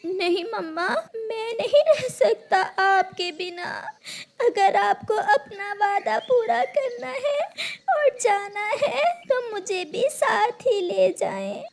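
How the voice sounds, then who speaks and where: Woman doing a shrill, distressed baby bird boy. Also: slow, gravelly, exaggerated voice. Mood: tearful, insistent. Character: devoted, clinging young bird son, distressed.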